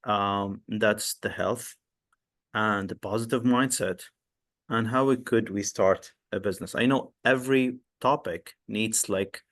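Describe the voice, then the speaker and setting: A man's voice: on the low side, unhurried, a bit bright. Polite man hosting a podcast. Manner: polite, encouraging, long rambling questions. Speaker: podcast host. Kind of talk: interview hosting.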